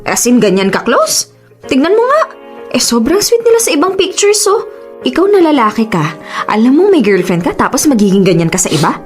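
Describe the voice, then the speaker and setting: A woman with a Filipino accent playing a blunt, protective, exasperated best friend, emphatic and expressive, in a radio drama. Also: high-pitched, brisk, soft background music. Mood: exasperated, suspicious.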